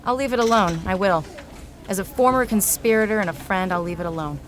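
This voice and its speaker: A woman's voice: mid-range voice, brisk, lightly acted. An actress playing a hard-drinking, wisecracking, world-weary female private eye in a noir detective audio drama. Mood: insistent, pleading. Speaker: lead actor in a science fiction noir audio drama.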